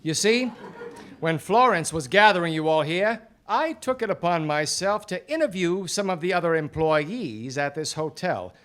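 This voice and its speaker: An actor playing a shrewd, courteous 1940s private detective in a live radio play, explaining things in a dry, measured, theatrical way. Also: mid-range voice, lively intonation, a bit bright, close to an everyday voice. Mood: knowing. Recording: live stage recording.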